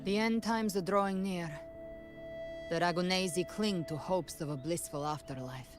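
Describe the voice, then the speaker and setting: Game role: actress playing resolute young warrior woman, low and grave, formal delivery, music clearly underneath. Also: natural voice. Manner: solemn, formal, measured. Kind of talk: scripted video game dialogue.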